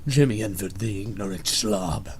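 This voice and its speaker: A man's voice: fairly low pitch, normal speed, husky. A man giving a dramatic scripted reading of a story as its narrator. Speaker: narrator of a fan fiction reading.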